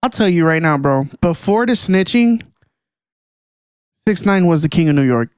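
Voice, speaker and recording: A young man co-hosting a casual podcast chat, excitable and slangy, calling people "bro" a lot. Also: on the high side, normal speed, clear, resonant tone, dry recording, clean studio sound. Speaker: podcast co-host.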